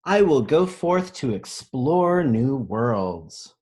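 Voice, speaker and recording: A man reading a children's picture book aloud as a teacher, pausing to think aloud and explain things simply. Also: medium pitch, big pitch swings, a little mellow, husky. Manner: pauses to pose questions and think aloud.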